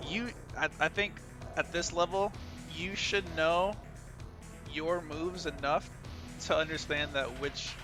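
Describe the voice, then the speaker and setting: A man's voice: on the high side, fairly even intonation, slow. A man giving casual, instructive gameplay analysis, talking informally as he coaches a player through moves. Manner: instructive, counts out inputs aloud, informal. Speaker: video creator coaching a fighting game player. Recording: loud game music and sound effects behind. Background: loud fighting game music and effects.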